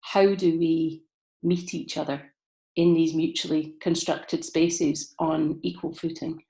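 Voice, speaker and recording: A woman's voice: low-pitched, flat delivery, takes it slowly, dark tone. A woman from southeastern Scotland with a Scottish accent, speaking thoughtfully in a workshop discussion over a video call and searching for her words. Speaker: workshop participant. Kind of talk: reflective discussion.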